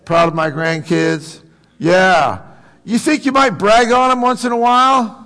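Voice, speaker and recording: A man's voice: high voice, fairly even intonation, unhurried, pushed and bright. An American man preaching a conversational sermon as a pastor, with a folksy, joking manner and questions put to his congregation. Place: large room, preaching to a congregation. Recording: reverberant hall.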